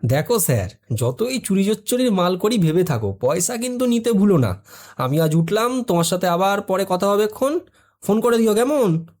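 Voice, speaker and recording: A man playing a streetwise, money-minded but warm-hearted helper in an audio story, talking in slangy, familiar banter. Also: high-pitched, very animated, normal speed. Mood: teasing. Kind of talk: audio story character acting.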